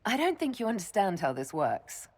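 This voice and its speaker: Husky, wry heroine. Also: female voice, mid-range voice, very animated, normal speed, a little mellow. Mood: teasing. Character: wry, warm-hearted woman hero and leader. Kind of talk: video game voice acting.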